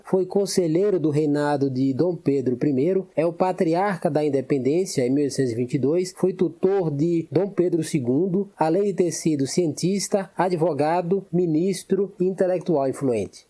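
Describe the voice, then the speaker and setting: A man reading a prepared cultural segment for a radio show in a steady, formal delivery. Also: fairly high pitch. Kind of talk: scripted reading.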